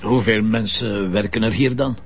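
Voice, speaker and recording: An actor playing a blunt, wry, brooding police commissioner with a Flemish accent in a naturalistic radio drama. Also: male voice, fairly low pitch, fairly even intonation, normal speed, slightly rough, distant mic, lo-fi sound. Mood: dry, probing.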